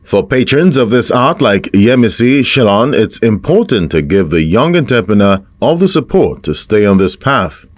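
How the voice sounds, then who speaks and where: A man's voice: fairly low pitch, big pitch swings, normal speed, hardly any pauses, dry recording. A man reading scripted narration for an arts report.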